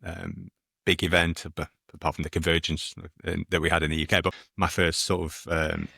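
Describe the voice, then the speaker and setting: Bloke cohost, low monotone voice, bright tone, dry. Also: male voice, moderate pace, creaky, breathy edge, no echo. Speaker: podcast co-host. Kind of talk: casual podcast chat.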